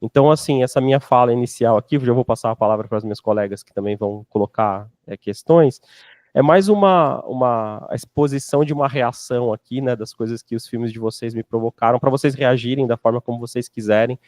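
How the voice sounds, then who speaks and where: A man moderates an academic panel, speaking in a loose, discursive way with many fillers. His voice sits at a mid pitch, and the sound is dry. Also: fast, darkish tone, no room sound.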